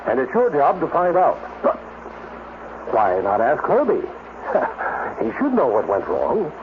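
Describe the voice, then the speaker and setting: A man playing a brisk, demanding research director with an authoritative manner in a 1950s radio drama. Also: on the high side, huge pitch swings, full character voice. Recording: muffled, noisy 1950s radio recording.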